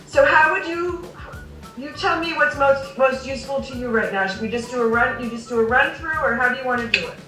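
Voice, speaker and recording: A woman's voice: sits high, moderate pace, fairly bright tone, soft background music. A woman speech coach on a video call, encouraging and full of praise. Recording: distant, very echoey video call audio.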